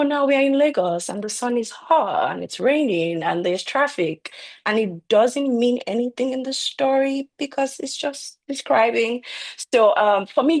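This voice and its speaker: A woman writer speaking on a panel, reflective and measured, with frequent fillers. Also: medium pitch. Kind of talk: panel discussion answer.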